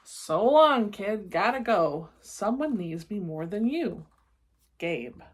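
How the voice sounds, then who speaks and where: A woman reads as a cocky male monster from a children's storybook. He is gruff and brash, snorting and dismissive, with a grudging fondness underneath. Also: mid-range voice, very animated, slow pace, heavily acted. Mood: breezy, brusque. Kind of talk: children's storybook read-aloud.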